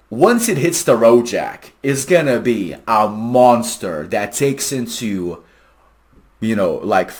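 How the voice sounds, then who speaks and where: Male vlogger, low-pitched, explaining his work informally with emphatic, salesy delivery. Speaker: AI phone agent developer presenting a video. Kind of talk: casual explainer vlog.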